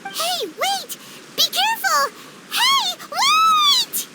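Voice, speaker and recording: A woman voicing a tiny, childlike, excitable fairy companion in a video game, squeaky and eager. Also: drawn-out words, full character voice, poor audio. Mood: alarmed. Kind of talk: cute video game voice acting. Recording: loud background noise.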